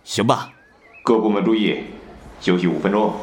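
A man's voice: fairly low pitch, normal speed, a little hiss or hum, lightly acted. A man playing a bossy, exasperated film director in an audio drama, brusque and commanding. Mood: resigned, brisk. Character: bossy, exasperated male film director barking orders on set.

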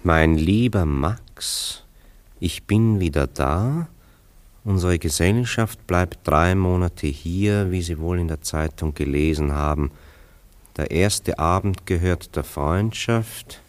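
An actor playing a worldly, amused bachelor friend in a German radio drama, wry and questioning. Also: male voice, low voice, slow pace. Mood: musing, leisurely.

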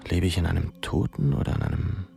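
An actor playing a brooding young pupil in a radio drama, musing anxiously in questions, gravelly and often near a whisper. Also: male voice, sits low, animated, a little fast, full character voice. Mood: bewildered, musing. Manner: musing, questioning inner monologue. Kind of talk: literary radio drama narration. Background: music.

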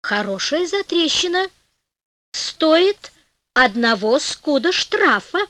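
A big, stubborn innkeeper from a folk tale, acted out by a woman reader. He is hot-tempered and blustering, with weighty, emphatic delivery in a high register. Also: sing-song, slow pace, pushed and bright, no room sound, full character voice. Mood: obliging.

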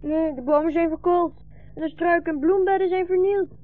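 A woman's voice: very high-pitched, steady tone, fairly quick, faint music behind. A woman playing a hungry, trusting young refugee boy, childlike and earnest, in a 1960s Dutch radio drama. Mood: earnest, attentive. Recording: dull, muffled 1960s radio recording.

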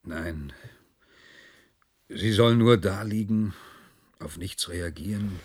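A man acting a stern general in a German radio play, with a rough, husky voice and curt phrases. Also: sits low, takes it slowly, darkish tone, heavily acted. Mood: stern, concerned. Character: stern, baffled male general giving curt orders.

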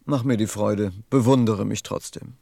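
A man's voice: fairly low pitch, steady tone, normal speed, gravelly, mellow, no room sound, clean studio sound. An actor playing a vain man who craves admiration, pompous and self-satisfied, in a German radio play. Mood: pleading.

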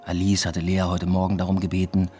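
An actor playing an evasive university lecturer in a radio drama, narrating in a dry, matter-of-fact, introspective way. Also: male voice, deep voice, completely flat delivery, a little fast, a little mellow, soft background music, close to an everyday voice. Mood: wry, reflective. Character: male university lecturer, evasive lover leading a double life. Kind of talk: literary radio drama first-person narration.

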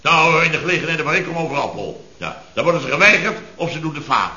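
Blustering, self-important boss, echoey old radio. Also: male voice, fairly high pitch, expressive, fast talker, sharp tone, full character voice. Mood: indignant, blustering. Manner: exasperated, pompous, emphatic. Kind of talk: 1970s Dutch radio comedy acting. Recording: muffled, echoey old radio recording with audience.

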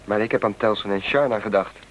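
An actor playing a tender, practical young settler on a new planet in a radio play, with an earnest, reassuring manner. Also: male voice, fairly low pitch, fast, highs cut off, natural voice. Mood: concerned. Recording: radio drama with loud background noise.

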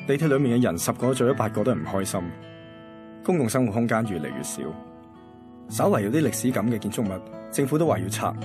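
A man's voice: lowish voice, lightly acted. An actor playing an easygoing, reflective young man from a radio drama, with a Hong Kong accent. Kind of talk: radio drama dialogue and narration.

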